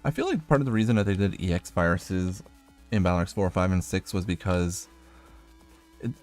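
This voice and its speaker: A man's voice: low-pitched, sing-song, moderate pace, a little mellow, faint music behind. A man giving casual video game commentary as a streamer, rambling and thinking aloud as he plays.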